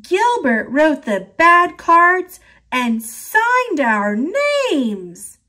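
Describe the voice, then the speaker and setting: A young schoolboy from a picture book, voiced by a woman reader, protests in a high, swinging voice. He talks slowly and exclaims. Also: big pitch swings, bright, forceful tone, exaggerated voice. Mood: upset. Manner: indignant, exclaiming.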